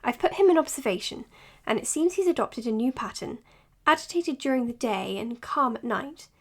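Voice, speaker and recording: A woman's voice: fairly high pitch, animated, normal speed. A woman acting in an audio drama as an earnest, matter-of-fact psychologist giving her case notes. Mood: measured, clinical. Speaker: doctor. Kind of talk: audio drama, recorded clinical log.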